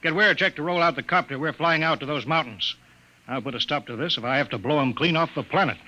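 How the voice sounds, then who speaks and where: An actor playing a blustering, impatient colonial bureau chief who barks brusque orders, in old-time radio drama style. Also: male voice, on the high side, quick pace, muffled recording, noisy background, close to an everyday voice. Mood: indignant. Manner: brusque, bossy, clipped orders.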